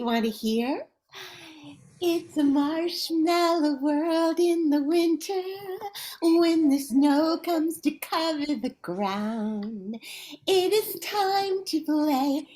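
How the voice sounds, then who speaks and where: A woman's voice: high-pitched, slow pace, a little mellow. A woman playing a giddy, sweet, gushing female reindeer in an amateur Christmas radio play. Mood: giddy, playful. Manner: gushing, giggly, sing-song delivery.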